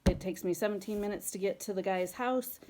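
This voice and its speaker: A woman's voice: medium pitch, brisk, noisy background. A middle-aged woman chatting casually in a home vlog, telling rambling stories with lots of fillers. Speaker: vlogger reselling scrap. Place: at home, garage and car.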